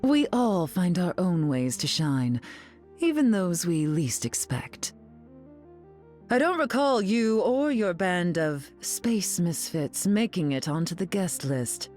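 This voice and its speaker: An imperious game aristocrat with a drawling, dryly mocking delivery. Her lines are unhurried and swoop expressively, over background music. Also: fairly low pitch, very animated, darkish tone. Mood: haughty, dry. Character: haughty, sardonic mutant queen, glamorous telepathic aristocrat. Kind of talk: video game character voice lines.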